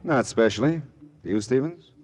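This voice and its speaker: An actor playing a wry, laconic, world-weary private detective in a radio drama, narrating and trading dialogue. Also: male voice, mid-range voice, expressive, moderate pace, a little mellow, slightly rough. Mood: dry, wry.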